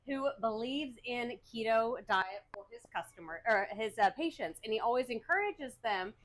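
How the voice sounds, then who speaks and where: A woman with a voice pitched a little high co-hosts a cooking stream. She talks in a casual, conversational livestream style. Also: lively intonation, normal speed, a little hiss or hum. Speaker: co-host of a cooking show.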